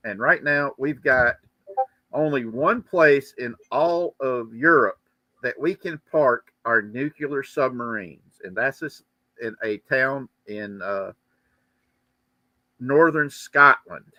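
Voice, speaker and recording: A man's voice: mid-range voice, slow, sharp tone, no echo, low-quality recording. A man hosting a livestream, chatting casually and giving commentary in a rambling, joking way. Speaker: livestream host. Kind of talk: casual chat and political commentary.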